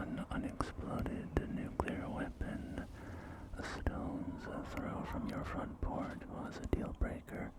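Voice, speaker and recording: Guy doing a soft folksy apocalypse realtor, asmr roleplay, super gravelly whisper, hesitant gentle sales patter. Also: mid-range voice, heavily acted. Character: folksy, reassuring male post-apocalyptic real estate agent. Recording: close whisper over soft music.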